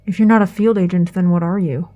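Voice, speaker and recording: Dark-voiced, deadpan, terse woman an actress acting. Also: on the low side, quick pace, highs cut off, natural voice. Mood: curious, gentle. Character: blunt, quiet, odd woman, loyal friend. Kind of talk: naturalistic audio drama acting.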